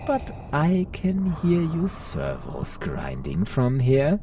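A sly, scheming ancient Sith lord, as a storyteller puts him on, pitched well above the teller's own deep voice and swooping wildly up and down. He talks with theatrical flair and sinks into breathy whispers, as heard in an audio retelling of a comic. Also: wildly swooping pitch, slow pace, far from the mic, background noise, exaggerated voice. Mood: taunting. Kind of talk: acted quotes in a retold comic story.

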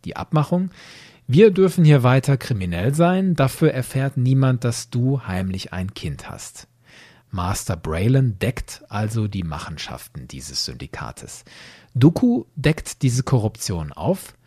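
A man narrates as a podcast host, explaining from a script. His voice is dark and husky, with animated rises and falls. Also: mid-range voice, unhurried.

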